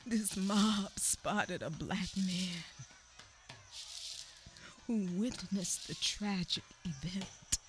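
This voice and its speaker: A woman performs a dramatic radio-play narration at a very slow pace, her voice sitting at a middle pitch. She repeats words as she goes, rises suddenly, then sinks into whispers. A faint hiss runs under the recording. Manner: drops to a whisper at times. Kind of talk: dramatic spoken-word storytelling.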